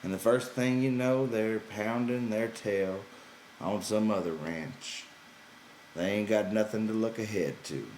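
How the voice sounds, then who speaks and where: Audiobook role: man doing gruff irritable drifter farmhand, slow folksy delivery, rough grammar, roomy recording. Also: fairly low pitch, a little mellow, slight background noise, natural voice. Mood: rhythmic, reciting. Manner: gruff, exasperated, folksy ungrammatical speech. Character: gruff, weary, irritable male ranch hand looking after companion.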